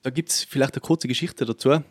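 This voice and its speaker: A man with a Tyrolean Austrian accent talks casually as an interview guest. His voice sits at a middle pitch and is recorded very dry. He tells things as anecdotes, slipping into quoted speech as he goes. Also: fairly quick, dry recording. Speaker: musician, podcast guest.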